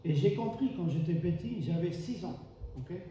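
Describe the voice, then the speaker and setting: A man's voice: high voice, animated, normal speed, mellow, muffled recording, a little hiss or hum. A man from Argentina with an Argentine Spanish accent, Spanish as his first language, preaching a sermon in an emphatic way, repeating key phrases and asking rhetorical questions. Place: church hall. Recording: echoey church hall, mic far off.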